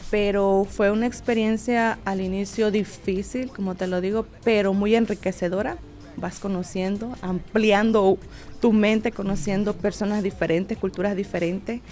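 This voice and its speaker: A woman's voice: medium pitch, fairly even intonation, moderate pace, darkish tone, faint music behind. A woman from southern Mexico, a religious sister chatting casually and a little hesitantly as a guest. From Oaxaca coast, southern Mexico. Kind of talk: casual, personal interview chat.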